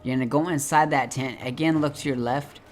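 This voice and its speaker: Male game guide host, medium pitch, instructional and directive walkthrough delivery. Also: steady tone, fairly quick, soft background music. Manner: step-by-step, directive.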